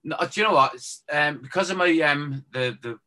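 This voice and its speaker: A man's voice: on the high side, fairly quick, bright, forceful tone. An Irish man, a former footballer, chatting casually and joking as a guest on a phone-in show. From Ireland. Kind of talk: casual chat.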